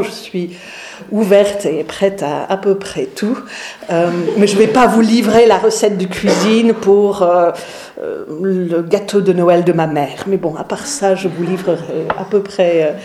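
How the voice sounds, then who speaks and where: A woman's voice: fairly low pitch, dark tone. English-accented woman pastor, echoey room. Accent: English, with some Swiss. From England. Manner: hesitant, many fillers and restarts. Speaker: pastor giving a talk. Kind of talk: informal lecture to a group.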